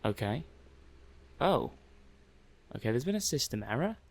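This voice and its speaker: A man's voice: medium pitch, very animated, unhurried. A man playing a mild-mannered, puzzled robot-testing technician in an ASMR roleplay, hesitant and muttering to himself. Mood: perplexed. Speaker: roleplay performer.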